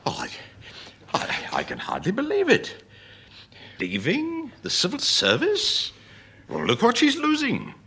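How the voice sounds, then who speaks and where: A man's voice: extremely high pitch, wildly swooping pitch, unhurried, pauses often, pushed and bright, slightly rough, heavily acted. A man playing a pompous, work-shy middle-aged civil servant, blustering and stammering with an English accent in a British radio sitcom. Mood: indignant.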